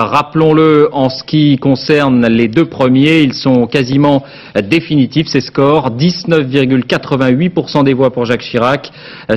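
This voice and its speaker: A man delivering an archive news report in measured news style. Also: on the high side. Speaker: news presenter.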